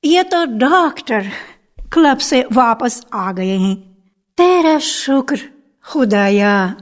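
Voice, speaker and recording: High-pitched, doting, anxious motherly woman, acted by a woman. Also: animated, slow pace. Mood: anxious relief. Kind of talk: radio drama acting. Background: music.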